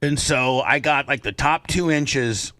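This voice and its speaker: A man's voice: mid-range voice, measured pace, sharp tone, no room sound, studio quality. A man hosting a talk show, telling a story casually and animatedly, often repeating phrases for emphasis.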